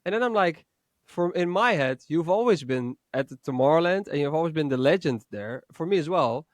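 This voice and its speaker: A young man co-hosting a podcast, his voice a touch high for a man. He teases his friends and rambles, restarting his sentences often. The sound is dry and right on the mic. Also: expressive, fairly quick, no room sound. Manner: teasing, rambling, with many restarts. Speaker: podcast co-host. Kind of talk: casual podcast chat. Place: home studio, indoors. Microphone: studio mic, video call.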